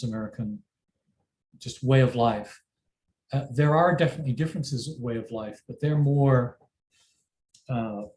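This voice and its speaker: A middle-aged man with an English accent, a parent answering interview questions in a thoughtful, measured way. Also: medium pitch, a little mellow. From England. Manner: thoughtful, measured, self-deprecating. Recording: roomy with faint ambient noise.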